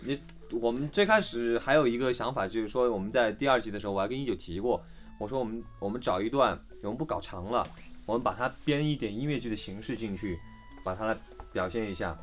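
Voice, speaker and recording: A woman's voice: very deep voice, quick pace. A woman voice actor chatting casually as a guest in a group interview, often repeating phrases for emphasis. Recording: music playing behind the voice. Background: music.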